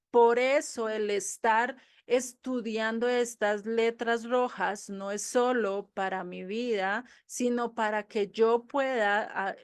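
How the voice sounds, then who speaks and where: A woman teaching a Bible study to a group, conversational, often asking rhetorical questions. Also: medium pitch.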